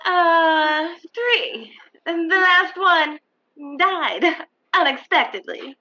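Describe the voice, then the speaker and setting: A sugary blonde femme fatale coos in a very high, bright voice. She is coy and gushing in the manner of old radio comedy, on slightly muffled audio. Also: animated, drawn-out words, heavily acted. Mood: breezy, coy.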